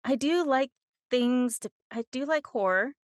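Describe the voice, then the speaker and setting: A woman's voice: high-pitched, a little slow, no echo, clean studio sound. A woman with a very young-sounding voice, an audiobook narrator chatting informally as an interview guest and giggling often. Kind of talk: casual conversational interview.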